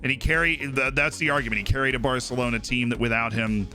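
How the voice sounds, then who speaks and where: A man's voice: medium pitch, fairly even intonation, fast, sharp tone, faint music behind. A young man streaming live, chatting casually and opinionatedly about football, with lots of fillers and asides.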